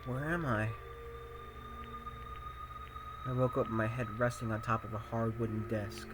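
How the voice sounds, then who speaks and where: An earnest, anxious high-school boy, acted by a man, thinks out loud in a mid-pitched voice. He narrates in the first person like a visual novel hero, questioning himself as he goes. Music plays clearly behind him. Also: fairly even intonation, brisk, close to an everyday voice. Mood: groggy, confused. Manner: self-questioning, musing aloud.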